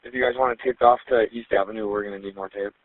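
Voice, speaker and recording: A man's voice: lowish voice, steady tone, rapid-fire, no room sound. A man speaking as a police officer over a phone-like two-way radio.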